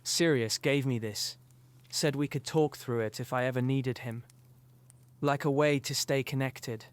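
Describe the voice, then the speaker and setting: A man's voice: mid-range voice, steady tone, a little slow, clean tone, lightly acted. A man playing an earnest, weary teenage boy wizard in a fan audio drama, subdued and troubled. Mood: quiet, wistful.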